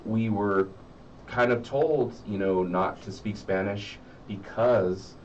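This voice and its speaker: A middle-aged American man talking reflectively as an interview guest, halting and repeating words as he searches for the next one. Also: on the low side, steady tone, a little mellow. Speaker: interview guest, horror story writer. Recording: muffled, distant mic with faint noise.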